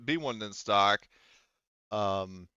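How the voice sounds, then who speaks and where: A man's voice: fairly low pitch, drawn-out words, pushed and bright, smooth, no echo, highs cut off. A man casually running a tabletop roleplaying game as game master, chatting informally and swearing freely. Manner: informal, profane.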